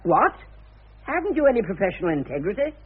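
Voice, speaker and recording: An actor playing a slick, cynical, domineering publicity promoter in a radio play, with brisk theatrical delivery. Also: male voice, high-pitched, sing-song, slightly rough, exaggerated voice. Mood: indignant, scornful. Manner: brisk, domineering, self-satisfied. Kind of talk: theatrical radio drama acting. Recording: tinny narrow-band old radio recording, faint noise.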